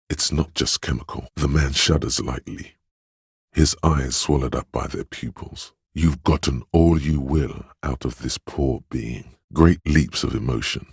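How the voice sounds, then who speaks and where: Compassionate inner-voice narrator, extremely deep, very husky and raspy, solemn, measured, intimate, video game narration. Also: male voice, no echo, clean studio sound, exaggerated voice. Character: inner voice of empathy, a grave compassionate male narrator. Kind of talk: scripted second-person game narration.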